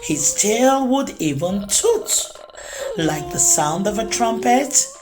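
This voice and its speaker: Slow, expressive woman reading a children's story. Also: mid-range voice, music in the background. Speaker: storytime host and narrator. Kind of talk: children's storybook read-aloud, rhyming narration.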